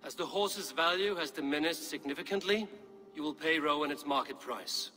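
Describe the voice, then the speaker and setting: A commanding Viking chief, somewhat high and forceful. He speaks firmly and with measured authority, as a game hero who expects to be obeyed. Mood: stern, judicial. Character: stern, commanding Viking clan leader passing judgment. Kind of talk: dramatic video game acting.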